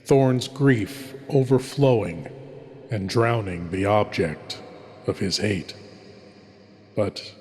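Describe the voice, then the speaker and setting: Brooding horror host with long reverb. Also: male voice, fairly low pitch, a little mellow. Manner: brooding, measured, dramatic. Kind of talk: theatrical narration of a story's moral and sign-off.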